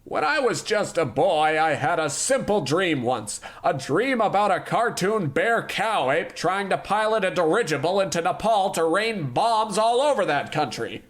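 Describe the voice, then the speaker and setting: A man's voice: high voice, very animated, moderate pace, few pauses, a bit bright. A man playing a pompous, flippant animation tycoon in a comedy radio play, smug and careless. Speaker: company boss character.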